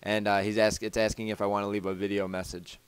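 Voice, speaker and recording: A man's voice: on the low side, little pitch movement, quick pace, clear, resonant tone. A man hosting a casual podcast from home over a voice call, rambling with lots of fillers and restarts. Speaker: podcast host.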